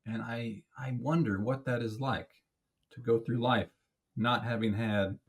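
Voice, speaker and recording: A man's voice: mid-range voice, steady tone, unhurried. A man hosting a podcast interview, asking questions in a conversational, reflective, somewhat hesitant way. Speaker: podcast host.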